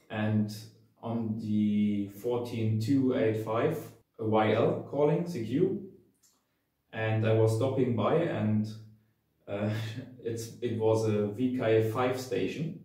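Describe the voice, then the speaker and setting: A man's voice: fairly low pitch, darkish tone. A man in his thirties with a German accent, casually recounting to camera as an amateur radio vlogger. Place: small sitting room, indoors. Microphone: camera a few metres away. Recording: echoey room, distant camera mic.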